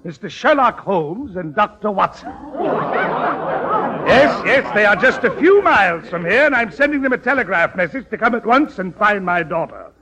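An actor playing a pompous, excitable Dutch town burgomaster and indignant father in an old radio operetta. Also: high-pitched, moderate pace, a crowd in the background. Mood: pompous, determined. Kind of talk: 1940s-50s radio operetta acting. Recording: old radio broadcast with music.

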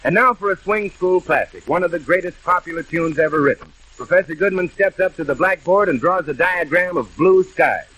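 High-pitched showy vintage radio announcer man. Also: brisk, few pauses, a bit bright, low-quality recording. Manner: showy, declamatory. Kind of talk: 1930s-40s swing show announcing.

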